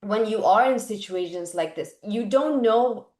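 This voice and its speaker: A woman's voice: mid-range voice, unhurried, a little mellow. A woman from Iran, an actress guest, speaking candidly and personally in an interview. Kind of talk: casual interview. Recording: echoey room.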